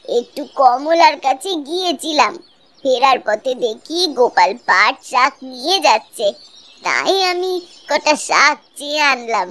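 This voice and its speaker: A woman voicing an affectionate, gently scolding old village grandmother in a cartoon, in a folksy, fond way. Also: sits high, expressive, unhurried, a little hiss or hum. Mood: fond, spirited. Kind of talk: Bengali cartoon voice acting. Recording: loud music behind the voice. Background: music.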